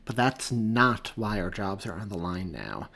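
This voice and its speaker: A man voicing an easygoing, reckless male police partner who shouts in exasperation and then talks his friend round, in an audiobook. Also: on the low side, sing-song, a little slow, creaky, heavily acted. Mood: coaxing. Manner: loud, exasperated then coaxing.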